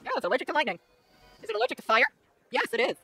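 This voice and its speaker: A woman giving casual let's-play commentary, her voice raspy and strained, talking to herself and the viewers with quick asides. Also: sits high, big pitch swings, sharp tone. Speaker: gamer playing a game on video. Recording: faint game music behind.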